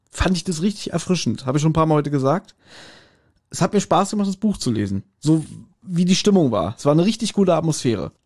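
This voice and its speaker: A man's voice: fairly high pitch, a little mellow. A man, chatting casually as a podcast co-host giving his review.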